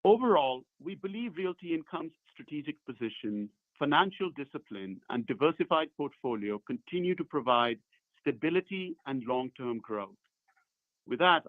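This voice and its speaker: A man presenting as a company executive, reading formal prepared corporate remarks in measured phrases. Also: medium pitch, no room sound. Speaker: company executive on an earnings call. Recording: muffled narrow phone conference line.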